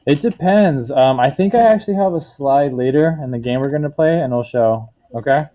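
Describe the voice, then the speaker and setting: A man with a mid-pitched voice reads a children's picture book aloud as a teacher. He explains words in simple terms and often turns to the class with questions to check that they follow. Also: brisk, warm tone, lo-fi sound.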